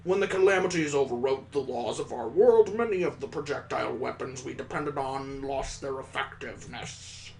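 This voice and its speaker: A man voicing a courteous, formal elderly elf mentor in a visual novel read-aloud, speaking in a stately, deliberate way. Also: mid-range voice, gravelly, darkish tone, slight background noise, natural voice, poor audio. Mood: solemn.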